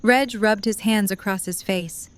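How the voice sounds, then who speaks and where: A woman narrator with a medium-pitched voice reads scripted prose. She tells the story between the lines of an audio drama. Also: measured pace, smooth.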